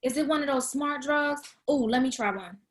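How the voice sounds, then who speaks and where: Playful broke young woman, played by an actress, high voice, slangy and teasing, TV comedy table read. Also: moderate pace, lightly acted. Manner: slangy, animated reactions.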